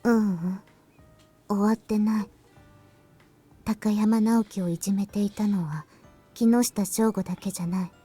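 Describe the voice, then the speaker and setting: Actress as serious young woman, soft dark tone, husky, knowing, grave, visual novel game character. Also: medium pitch, steady tone, a little fast, pauses often, faint music behind, natural voice. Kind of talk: Japanese visual novel voice acting.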